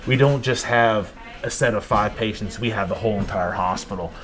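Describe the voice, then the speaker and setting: A man's voice: fairly low pitch, moderate pace, fairly bright tone. A man working as a respiratory therapist, explaining his work in a plain, casual way with occasional fillers. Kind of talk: casual workplace interview.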